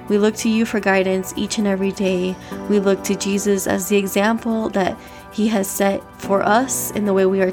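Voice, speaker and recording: A woman's voice: mid-range voice, a little mellow. Congested American woman hosting a devotional. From Miami, Florida, USA. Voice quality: slightly nasal, congested. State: stuffy, congested nose. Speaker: devotional podcast host. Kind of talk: conversational devotional talk and prayer. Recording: music bed behind the voice. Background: background music.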